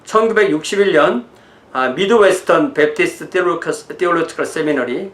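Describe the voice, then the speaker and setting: A man's voice: on the high side, fairly quick, a bit bright. A man, a pastor giving an informal, conversational lecture in an explanatory way. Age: 60s. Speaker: pastor teaching church history. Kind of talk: informal lecture mixing notes and asides. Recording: roomy, echoing sound.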